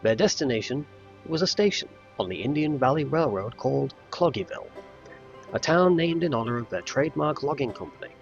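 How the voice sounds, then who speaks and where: A man narrating a scripted storybook tale in measured phrases with dramatic emphasis. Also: mid-range voice, expressive, muffled recording, faint music behind.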